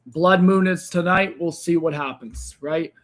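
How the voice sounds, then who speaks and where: A man hosting a crypto trading livestream, speaking casually to viewers, hoarse and tired as his voice gives out. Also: steady tone, measured pace, a bit bright. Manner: tired, low-energy sign-off with frequent fillers.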